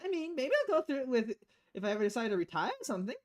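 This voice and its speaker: Woman doing a chirpy robot girl for a game, high n bouncy, cheeky, chatty. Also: sing-song, rapid-fire, a little mellow. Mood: frank, chatty.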